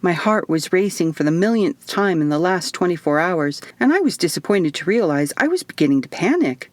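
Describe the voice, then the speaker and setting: A woman reading her own first-person fiction audiobook as narrator, in an expressive storytelling style. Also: lowish voice, fairly quick, dry recording.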